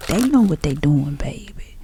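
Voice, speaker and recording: A woman with a Southern US accent doing chatty ASMR, speaking softly and half-whispering like a folksy auntie giving advice. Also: low voice, animated, moderate pace, slight background noise. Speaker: ASMR creator packing orders.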